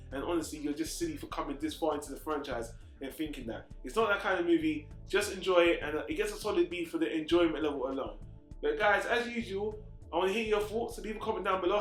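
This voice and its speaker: A man's voice: high-pitched, a bit bright. A man hosting a casual movie review, emphatic and blunt in his opinions, swearing casually. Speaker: host of a film review channel. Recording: roomy echo with faint music.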